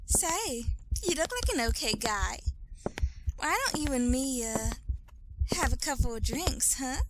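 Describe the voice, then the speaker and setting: A woman playing a brassy flirtatious dame in 1930s radio style, with slangy drawn-out talk. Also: high-pitched, lively intonation. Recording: very noisy track with clicks.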